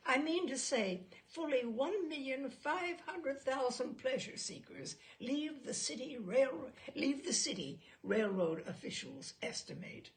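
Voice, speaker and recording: A woman's voice: sits high, lively intonation, unhurried, hardly any pauses, pitched above the performer's own voice. A woman voicing a pompous, fussy male expert witness in a humorous story, precise, proud and declamatory. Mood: pedantic, proud. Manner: precise, self-satisfied, declamatory. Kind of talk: humorous short story read aloud.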